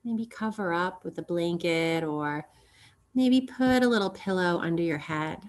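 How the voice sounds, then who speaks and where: A woman guides a relaxation meditation as a yoga nidra teacher. Her pitch sits a little low and her pace is very slow. She speaks gently and soothingly, letting long pauses fall between phrases.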